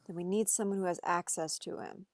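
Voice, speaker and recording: A woman's voice: lowish voice, steady tone, fairly quick, no room sound, studio quality, lightly acted. A woman playing an anxious, earnest young man searching for his father at a script table read. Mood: hesitant, eager.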